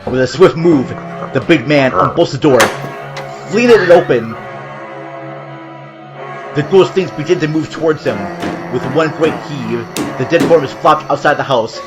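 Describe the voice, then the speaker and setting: A man narrating a scripted horror audio drama in a dramatic, descriptive storytelling manner, with loud music behind him. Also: a little high, normal speed, pauses often, dull audio.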